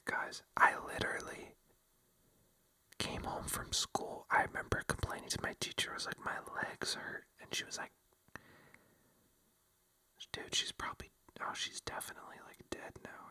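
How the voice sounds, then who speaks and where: A vlogger telling a rambling, casual story in a breathy ASMR whisper, full of fillers like "like" and "you know.". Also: normal speed, pushed and bright. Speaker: vlogger addressing viewers.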